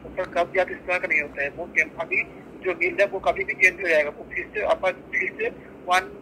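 A man from India working as a customer care agent, politely explaining and troubleshooting in halting, repetitive phrases, heard through a phone speaker. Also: high voice, wildly swooping pitch, bright, forceful tone. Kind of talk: customer support call, troubleshooting. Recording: phone call on speaker, faint background noise.

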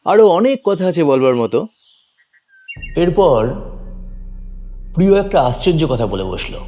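A man's voice: a little high, sing-song, a little slow, warm tone. A man reading a scripted first-person story as a dramatic narrator. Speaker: story reader. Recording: long reverb, faint music behind.

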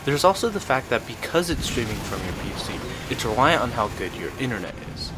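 A man's voice: medium pitch, very animated, fairly quick, noisy background. A young man reading a scripted guide as a review voice-over. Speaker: video guide narrator. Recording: music behind the voice. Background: background music.

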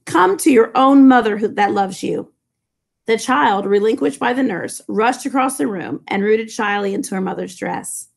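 A middle-aged woman, a high school English teacher reading a novel aloud to her class and breaking off into chatty asides full of "like" and "you know", recorded on a laptop webcam in a classroom. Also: moderate pace, gravelly. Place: classroom with low ceiling and fluorescent lights. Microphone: laptop webcam from below.

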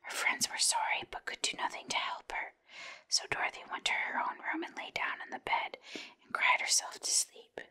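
A woman narrating a storybook in a breathy, unvoiced whisper, like ASMR. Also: moderate pace, sharp tone. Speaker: audiobook reader.

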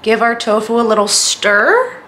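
A woman talks casually through a recipe in a home kitchen, with some background noise around her. Her voice is bright and fairly high. She is chatty and informal, tossing in self-deprecating asides as she explains each step. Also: big pitch swings, unhurried. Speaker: home cooking vlogger. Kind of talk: casual chatty cooking demonstration.

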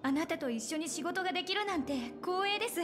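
An actress playing an earnest, polite young businesswoman in a game, crisp in her delivery. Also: very high-pitched, fast. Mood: polite, composed. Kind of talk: Japanese game voice acting. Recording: music behind the voice.

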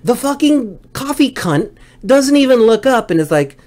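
A man's voice: extremely high pitch, sing-song, moderate pace, darkish tone. A middle-aged man telling a comic story as a livestream host, exasperated and swearing freely. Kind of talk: comedic storytelling monologue.

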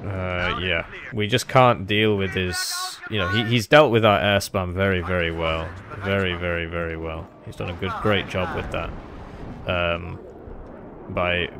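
A man with a low voice that crackles into fry talks his way through a strategy match. He rambles casually and puts himself down as he goes. Game noise is clearly audible behind him. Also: fairly even intonation, a little slow. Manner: rambling, self-deprecating. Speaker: gamer commentating on own strategy match. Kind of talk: casual gameplay commentary.

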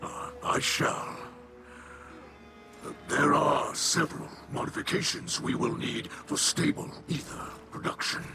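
An actor voicing a courteous alien leader in a video game, formal and measured, with a breathy rasp. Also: male voice, medium pitch, lightly acted. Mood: solemn, courteous. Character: solemn, courteous alien leader of a refugee people. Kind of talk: video game dramatic dialogue. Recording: loud music behind the voice.

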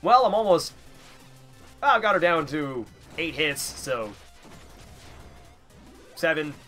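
A man's voice: a little high, big pitch swings, takes it slowly, pushed and bright, faint music behind. A young man with a stuffy nose giving casual, rambling, self-deprecating gameplay commentary. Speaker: gamer, let's player.

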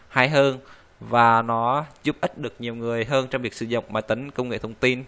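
Bright, clear-voiced man giving a tutorial. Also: mid-range voice. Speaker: software tutorial host. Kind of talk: informal screen-recorded tutorial.

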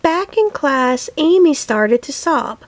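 A woman reading a children's picture book aloud as a teacher would, with deliberate, expressive phrasing for young children. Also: high voice. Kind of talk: scripted storybook read-aloud.

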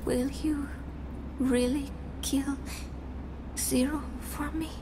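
An actress playing a frail, anguished female captive in a game cutscene, speaking faintly and haltingly. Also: high voice, fairly even intonation, noisy background. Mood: weak, pleading. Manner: halting, faint, breaking phrases apart. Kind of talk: video game cutscene acting.

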